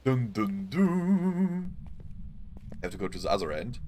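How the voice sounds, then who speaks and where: Hoarse guy streaming a game, talks to chat a lot, voice bounces all over, bit of hiss behind. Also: mid-range voice, very animated, a little slow, mellow. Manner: apologetic, chatty, talks to chat. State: hoarse, losing his voice. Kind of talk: live gameplay commentary.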